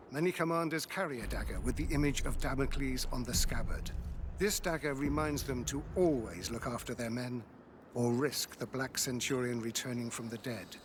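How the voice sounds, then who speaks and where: An actor playing a grave Roman soldier who narrates a legend in a measured, solemn way for a game cutscene. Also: male voice, mid-range voice, animated, noisy background, lightly acted.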